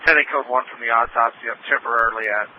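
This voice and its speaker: Male field officer, medium pitch, clipped through a dull lo-fi police radio. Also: steady tone, fast talker. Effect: police two-way radio. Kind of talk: live police radio traffic. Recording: muffled, noisy police radio transmission.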